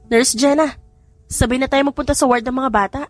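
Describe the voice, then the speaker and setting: A woman's voice: high-pitched, fairly even intonation, fairly quick, no room sound, voice pushed up. Woman acting gossipy young Filipina nurse, music behind. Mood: friendly. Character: chatty, giddy young female nurse friend.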